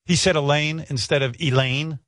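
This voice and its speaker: A man's voice: fairly high pitch, fairly even intonation, normal speed, fairly bright tone, no room sound, studio quality. A male radio host in casual, profane banter, indignant and often laughing.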